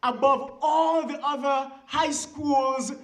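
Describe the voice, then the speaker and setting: Kittitian ceremonial speaker, very high voice, measured emphatic oratory, echoey venue. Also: fairly even intonation, fairly bright tone. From Saint Kitts and Nevis. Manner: oratorical, deliberate, emphatic repetition in short phrases. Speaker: guest speaker at a school ceremony. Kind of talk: formal ceremonial public speech.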